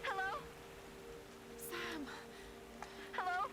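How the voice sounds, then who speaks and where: A woman's voice: very high voice, extremely slow, bright, forceful tone, slight background noise, heavily acted. An actress playing a desperate young woman adventurer, a stranded survivor, in a dramatic video game performance. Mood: anxious relief. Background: game music.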